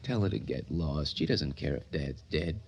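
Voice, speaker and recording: An actor playing the blunt, taunting, impatient inner self of a grieving young man in a radio drama, with a husky voice. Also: extremely low pitch, lively intonation, moderate pace, a little mellow, exaggerated voice. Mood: cold taunting. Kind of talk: old-time radio drama acting.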